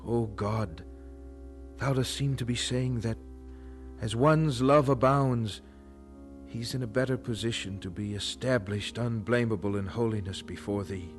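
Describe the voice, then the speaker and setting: A man's voice: medium pitch, a little slow, faint music behind, lightly acted. An actor playing a devout young male student, thoughtful and intense, with formal, earnest phrasing in an audio drama. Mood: fervent, prayerful. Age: young adult.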